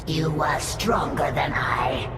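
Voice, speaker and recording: A woman's voice: low voice, takes it slowly. A woman voicing a proud, fierce alien brood mother in a video game, with theatrical, declamatory delivery and creature processing. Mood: frustrated. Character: Zagara, proud fierce female alien brood mother, defeated yet loyal. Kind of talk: dramatic video game acting. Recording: echoey, distant, loud game music behind.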